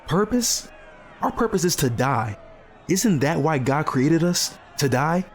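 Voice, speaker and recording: A man's voice: a little high, sing-song, measured pace, some chatter behind, a little hiss or hum. A man playing a bitter, fatalistic young survivor in a post-apocalyptic audio drama, curt, guarded and sardonic.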